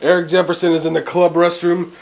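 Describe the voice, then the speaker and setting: A man's voice: on the high side, level tone, a little fast, vocal fry, darkish tone. A man playing a mocking, blunt police chief in an amateur radio drama. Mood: brusque. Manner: sneering, blunt, sarcastic.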